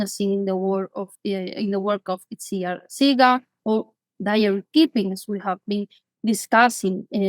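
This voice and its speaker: A woman from Asturias, opening an online academic seminar in a measured way, often repeating words as she corrects herself. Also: fairly high pitch, dry recording, low-quality recording. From Asturias, Spain. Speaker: seminar co-organizer and host.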